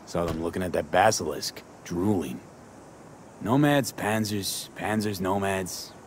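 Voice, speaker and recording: A man's voice: sits low, a little slow, a little hiss or hum. An actor playing a laconic, easygoing male mercenary in a video game, with clipped, understated delivery. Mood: wry. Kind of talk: cinematic video game voice acting.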